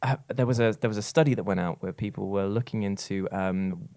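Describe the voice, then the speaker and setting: A man's voice: on the low side, a little fast, darkish tone. Man in casual podcast discussion. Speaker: podcast host.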